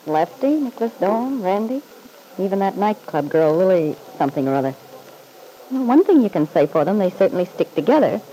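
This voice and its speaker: A woman's voice: medium pitch, sing-song, a little fast, mellow, close to an everyday voice, rough recording. An actress playing a catty, disillusioned woman in a vintage radio soap, arch, needling and drily amused. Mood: curious, dry. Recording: old radio recording with faint hiss.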